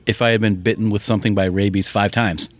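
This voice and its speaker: A man co-hosting a podcast, chatting casually and getting excited and laughing easily. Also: lowish voice, fast, no room sound. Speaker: podcast co-host. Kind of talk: casual chat. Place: hotel room at a convention.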